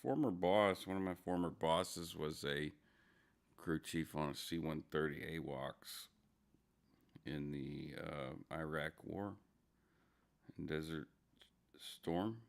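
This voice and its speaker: A man hosting a casual morning livestream, greeting viewers by name in a drowsy, rambling way with a low, gravelly morning voice. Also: slow, long pauses. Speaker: livestream host.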